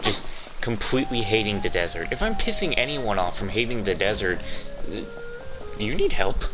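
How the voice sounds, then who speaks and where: A man's voice: lowish voice, sing-song, moderate pace, rough recording. A man giving casual playthrough commentary as a gaming streamer, informal, sweary and exasperated. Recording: voice over faint game music.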